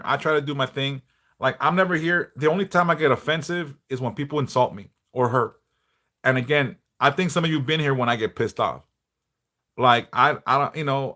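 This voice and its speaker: A middle-aged man a big bass voice, chatting bluntly and passionately on a livestream, swearing freely. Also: fairly quick, bright, forceful tone. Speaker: livestream host, devotional teacher. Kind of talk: casual livestream chat.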